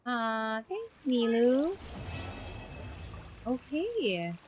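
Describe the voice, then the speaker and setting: A woman's voice: on the high side, big pitch swings, drawn-out words, dull audio, slight background noise. A woman with a Filipino accent, a native Tagalog speaker, reacting casually as she streams a story game. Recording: faint game music behind.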